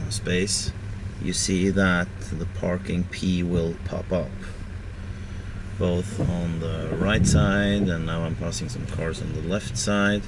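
A middle-aged Norwegian man whose first language is Norwegian, speaking English with a Norwegian accent, explaining car features in a plain, slightly wry way from inside a moving car. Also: deep voice. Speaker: car owner demonstrating features. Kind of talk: casual spoken walkthrough. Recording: loud road and car noise.

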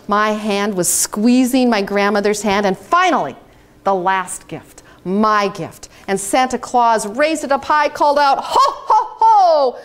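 A woman in her fifties from the United States giving a storytelling keynote speech in a conference room, animated and dramatic. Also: fairly high pitch. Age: 50s. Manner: animated, dramatic, gesturing on stage. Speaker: keynote speaker. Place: hotel conference room, indoors.

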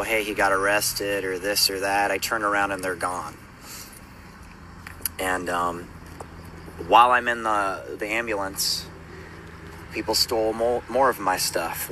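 A man in his thirties speaks into a phone held out at arm's length on a city street at night, weary and pained from an injured eye. He talks in a subdued, halting way with lots of fillers. Traffic noise rumbles clearly behind him. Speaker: street preacher. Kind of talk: phone selfie video recap.

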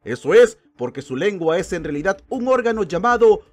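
A man's voice: high voice, sing-song, fairly quick. A man narrating a lore video in a playful, mock-dramatic way. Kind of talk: comedic scripted narration.

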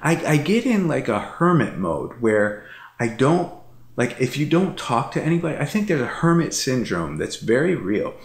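A man's voice: mid-range voice, very animated, normal speed, creaky, echoey room. A man in his thirties chatting casually on a couch at home, rambling and repeating himself with lots of fillers. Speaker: vlogger. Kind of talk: casual chat. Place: living room couch at night.

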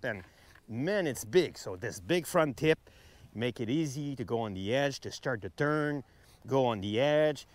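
A middle-aged man gives an enthusiastic, conversational product review to camera as a ski reviewer, stressing words emphatically. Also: medium pitch. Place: outdoors on a ski slope.

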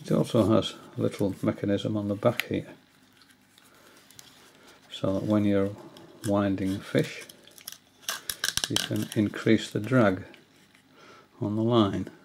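An older man at home giving a hesitant, casual tutorial, often pausing with fillers as he shows his reels. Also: on the low side, level tone, creaky, background noise. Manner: hesitant, many fillers and restarts. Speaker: angling hobbyist giving a tutorial. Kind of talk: casual explanatory demonstration. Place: indoors, small room at home.

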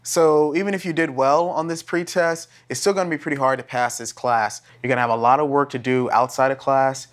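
A man's voice: mid-range voice, fairly even intonation, a little fast, hardly any pauses, close to an everyday voice. An American man in his thirties playing a blunt, earnest graduate teaching assistant instructing a class in a casual, plain-spoken way. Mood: instructive. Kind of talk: classroom instruction, acted dramatization. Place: classroom lab, indoors.

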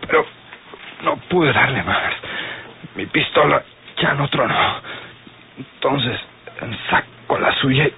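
Casting: injured young Mexican gunman, slow labored delivery, breathy and pained, lo-fi vintage radio drama. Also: mid-range voice, animated, heavily acted. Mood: pained, weak. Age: young adult. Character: badly wounded young gunman, struggling to speak. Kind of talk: old radio serial acting. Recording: muffled, noisy old radio serial recording.

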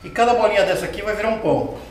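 A man's voice: high voice, very fast, slight background noise. Chatty Brazilian man teaching baking in a kitchen. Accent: Brazilian Portuguese. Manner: chatty, explains step by step, asks the viewer questions. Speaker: cooking show host. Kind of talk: casual cooking demonstration. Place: home kitchen with tiled wall, indoors. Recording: roomy kitchen sound from a distance.

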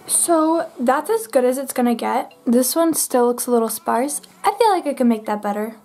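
A woman's voice: high voice, animated, moderate pace, a little mellow. A teenage girl chatting through her makeup routine at her bedroom vanity, bubbly and full of "like", getting over a cold. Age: teens. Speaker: beauty vlogger. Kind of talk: makeup tutorial chat.

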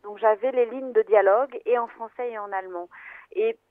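A woman film editor speaking over a thin, phone-like line that sounds dry, with no room echo. She talks slowly and thoughtfully, pausing on fillers and restarting her sentences as she explains her work. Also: a little high. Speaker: film editor, interview guest.

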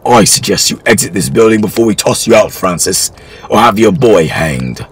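A man reading aloud, voicing a scheming older English lord who speaks slowly and coldly. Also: animated, slightly rough. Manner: slow, low and cold.

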